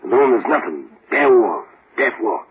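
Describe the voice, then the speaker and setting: An actor playing a streetwise death-row convict who talks in tough slang, in old radio drama style. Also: male voice, mid-range voice, big pitch swings, moderate pace, natural voice. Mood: scheming. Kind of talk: 1940s radio acting. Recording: tinny hissy old radio broadcast.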